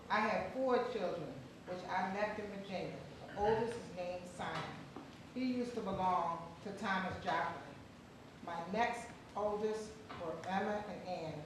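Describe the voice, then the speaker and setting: A woman's voice: fairly low pitch, close to an everyday voice. A woman giving a dramatic reading as a formerly enslaved mother searching for her lost children. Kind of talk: dramatic reading of a historical newspaper ad. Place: theatre or hall, recorded from a distance. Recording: echoey hall from far off, noisy.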